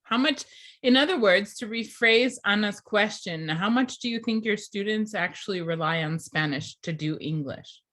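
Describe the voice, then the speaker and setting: A woman's voice: medium pitch, moderate pace, fairly bright tone. A woman, the teacher, rephrasing a student's question to the group. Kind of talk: leading class discussion.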